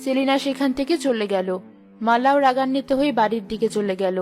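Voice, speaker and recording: A woman's voice: sits high, brisk, a little mellow, faint music behind. A woman reading scripted narration for a story as its narrator.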